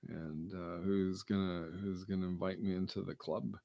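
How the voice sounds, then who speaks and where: A man with a gravelly baritone, rambling through a late-night solo broadcast with mumbled, self-deprecating asides. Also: little pitch movement, normal speed, dark tone, no room sound.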